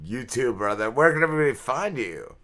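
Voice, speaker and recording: Bright, sharp-voiced man swearing casually. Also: medium pitch, sing-song, fairly quick. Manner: crude, profane. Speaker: podcast host. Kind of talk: casual chat.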